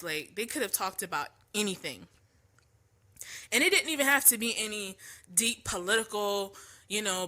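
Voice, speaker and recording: Congested, chatty woman podcaster with a bright voice. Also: medium pitch. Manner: chatty, slangy, opinionated, many ums. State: congested from allergies. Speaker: podcast host. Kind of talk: casual opinion monologue. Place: at home.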